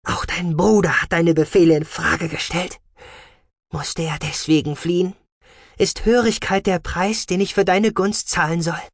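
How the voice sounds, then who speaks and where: A proud woman scientist serving as first officer, as a male reader puts her on. She sounds indignant and challenging, pitched well up. The sound is dry and close, in a science fiction audiobook. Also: a little fast, hardly any pauses, a bit bright, husky, dry recording.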